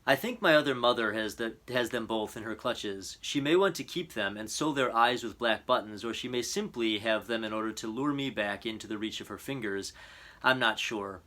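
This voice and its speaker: A man's voice: lowish voice, normal speed, bright, forceful tone. A man voicing a determined, serious young girl in a storybook, earnest and precociously formal. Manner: earnest, matter-of-fact, formal. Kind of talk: storybook character voice.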